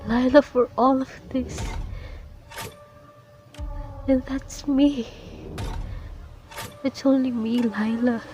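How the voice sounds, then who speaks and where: A woman voicing a gentle, self-sacrificing older wife in a student radio play, soft and pleading. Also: high-pitched, fairly even intonation, slow, pauses often, soft background music. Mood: resigned. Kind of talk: amateur radio play acting.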